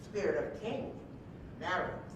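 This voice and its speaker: Female stage-directions reader, scripted delivery, lower pitch, reverberant noisy hall. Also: lively intonation, slow pace. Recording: distant echoey hall recording, clear background noise.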